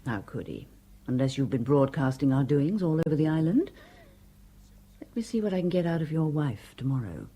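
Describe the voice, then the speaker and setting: An actress playing a manipulative upper-class sister in a radio drama, with a clipped, commanding delivery. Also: female voice, low-pitched, very animated, moderate pace, mellow, slightly rough, exaggerated voice. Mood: calculating. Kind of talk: 1970s radio drama acting.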